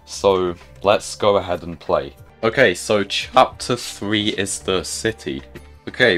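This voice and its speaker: A man giving casual gameplay commentary, chatting and thinking aloud as he plays. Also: low voice. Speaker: gamer narrating own play.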